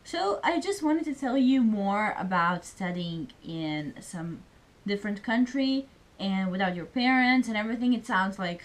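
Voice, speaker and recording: Chatty young woman from Serbia. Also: mid-range voice. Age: young adult. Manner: chatty, many fillers, speaks English as a second language. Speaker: student vlogger. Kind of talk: casual vlog chat.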